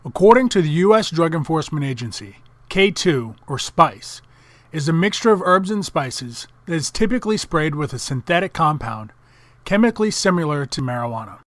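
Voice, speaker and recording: Male narrator for a military training film. Fairly high voice, formal measured read, very dry recording. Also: big pitch swings, fairly bright tone, dry recording. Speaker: documentary narrator.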